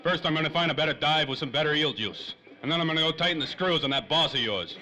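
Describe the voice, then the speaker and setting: An actor playing a hot-tempered, boozing ex-private detective, with brash, clipped slang in 1930s radio noir style. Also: male voice, fairly high pitch, quick pace, pushed and bright. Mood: gruff, scornful.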